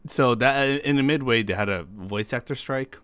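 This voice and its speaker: A man's voice: mid-range voice, moderate pace, a bit bright, clear, resonant tone, dry recording. A man hosting a reaction video, explaining things in casual chat on a close mic. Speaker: host of a tier list reaction video.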